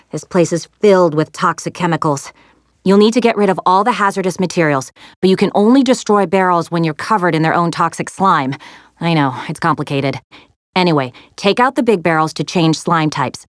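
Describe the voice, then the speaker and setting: An actress voicing a plucky, sassy young woman adventurer in a video game, expressive and wry as she briefs heroes. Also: lowish voice, dry recording, clean studio sound, close to an everyday voice. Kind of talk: animated video game character lines, giving mission briefings.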